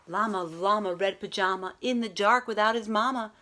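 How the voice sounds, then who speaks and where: A woman's voice: medium pitch, normal speed, bright, forceful tone, a little hiss or hum. A middle-aged woman reading a picture book aloud to children, expressively, drawing out some words. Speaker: read-aloud host. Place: room at home, indoors.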